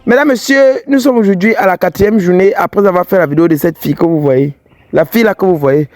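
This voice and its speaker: A man with an English-speaking Cameroonian accent hosts a street confrontation vlog. His voice sits high for a man, and his manner is blunt and teasing. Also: quick pace, darkish tone, dry recording, faint music behind. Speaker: fidelity tester vlogger host.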